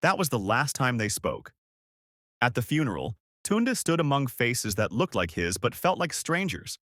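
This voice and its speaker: Male narrator for scripted short fiction. Animated intonation, mid-range voice, very dry sound. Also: medium pitch, normal speed, no echo. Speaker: storyteller.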